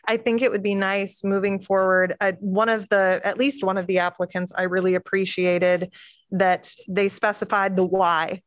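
A woman's voice: mid-range voice, level tone, normal speed, dry recording. A woman commissioner speaking thoughtfully in a city meeting discussion.

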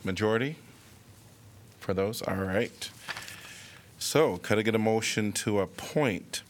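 Deep-voiced man chairing a meeting. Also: slight background noise. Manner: measured, procedural. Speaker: mayor chairing a council meeting. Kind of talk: chairing a public meeting, reading agenda items.

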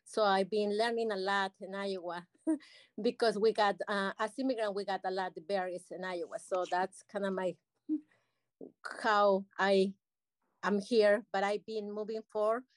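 Mexican-accented woman, Spanish native, earnest panel guest on a video call, dead dry sound, mid pitch. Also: fairly even intonation, moderate pace, no echo. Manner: earnest, simple phrasing with frequent fillers. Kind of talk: personal migration story on a video call.

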